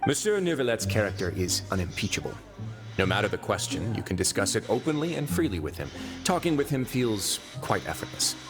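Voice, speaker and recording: An actor playing an urbane, quietly authoritative duke in a video game, measured and courteous. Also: male voice, lowish voice, very animated. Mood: relaxed. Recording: game music behind the voice.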